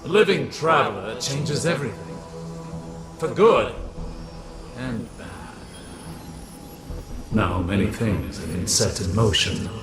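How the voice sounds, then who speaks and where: A grave, prophetic warlock for a video game cutscene. His delivery is slow and portentous, set in an echoing space. Loud music swells behind him. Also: lowish voice, expressive, gravelly, heavily acted. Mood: solemn, foreboding. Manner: solemn, portentous. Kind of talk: scripted dramatic game cutscene acting.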